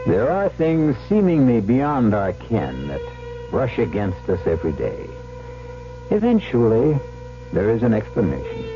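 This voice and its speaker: A man's voice: lowish voice, vocal fry. A man hosting a mystery radio drama with ominous, portentous scripted narration. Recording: dull vintage radio with music.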